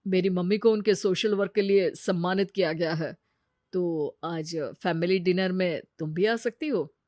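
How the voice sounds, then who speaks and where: A woman's voice: lowish voice, normal speed, a little mellow, dry recording, studio quality, close to an everyday voice. Woman voicing an earnest young man. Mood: impressed, friendly. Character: friendly, earnest young man courting heroine.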